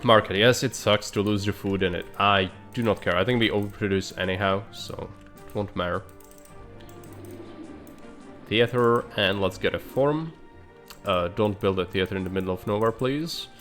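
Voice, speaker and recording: A man's voice: fairly low pitch, moderate pace, a bit bright, faint music behind. A man streaming live, thinking aloud in dry, blunt remarks as he plans a city build. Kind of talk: live gameplay commentary.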